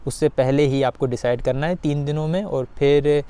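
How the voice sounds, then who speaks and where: A man giving an informal explainer to viewers, speaking haltingly with repeated filler phrases. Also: a little high, steady tone, mellow, smooth. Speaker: video guide host.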